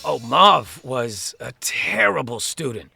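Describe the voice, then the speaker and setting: An actor playing a plain-spoken older brother from New Jersey in a mock-documentary interview, halting and repeating himself for emphasis. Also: male voice, mid-range voice, big pitch swings, gravelly, bright, forceful tone, husky, dry recording, faint music behind, full character voice.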